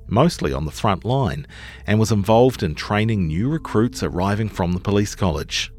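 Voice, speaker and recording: A man reading a long-form news feature in a measured, articulate scripted narration style. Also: fairly low pitch, a little mellow.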